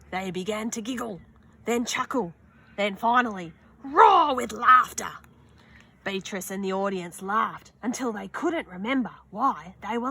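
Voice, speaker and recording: A young woman reading a children's picture book aloud outdoors, slow and expressive, with gasps and little asides. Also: mid-range voice, slight background noise. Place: outdoors on a sunny wooden deck.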